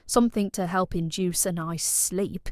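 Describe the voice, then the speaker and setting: A woman's voice: fairly low pitch, a little slow, no echo, studio quality, close to an everyday voice. An actress playing a bored, randy, blunt British suburban woman in an audio play, talking in a colloquial, cajoling way.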